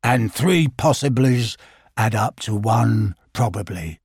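A man voicing a gloomy old male donkey, speaking slowly and mournfully in a low voice. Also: animated, darkish tone, breathy edge, no room sound, studio quality, heavily acted. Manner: slow, low, sighing delivery.

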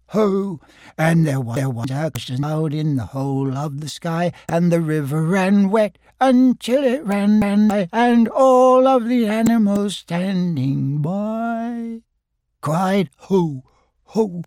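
A man voicing a kindly, simple toy bear in a storybook, slow, earnest and sing-song. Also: fairly high pitch, a little mellow, no room sound, studio quality, voice pushed up. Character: kindly, simple male toy bear singing rambling hums. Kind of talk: storybook narration with character voices.

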